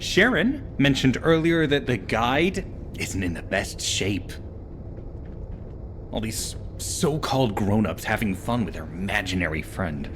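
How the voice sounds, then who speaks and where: A man's voice: fairly low pitch, sing-song, a little slow, noisy background. A man playing a snide, moody scientist in a video game, sarcastic, dismissive and theatrical. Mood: irritated, dismissive. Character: snide, moody, irritable male scientist who dropped out. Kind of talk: video game cutscene acting.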